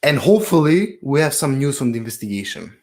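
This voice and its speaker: A man's voice: medium pitch, very animated, fast talker, darkish tone. A man co-hosting a podcast in casual chat, blunt and teasing, swearing freely. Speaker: podcast co-host.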